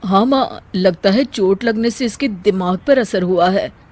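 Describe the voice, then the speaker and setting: Woman playing a concerned, mid-pitched man. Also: unhurried, darkish tone, natural voice. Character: concerned adult men, husband and doctor. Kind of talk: cartoon story acting.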